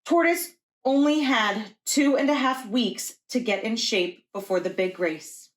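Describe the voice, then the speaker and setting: A woman's voice: on the high side, measured pace. A woman reading a picture book aloud to young children as a preschool teacher.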